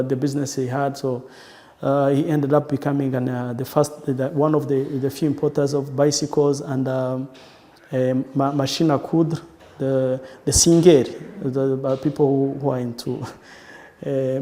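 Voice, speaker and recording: A man with a Rwandan accent recalling his family history in an interview, speaking haltingly and often restarting his sentences. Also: medium pitch, warm tone, in a large hall. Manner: halting, searching for words with frequent restarts. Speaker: entrepreneur. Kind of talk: personal recollection in an interview.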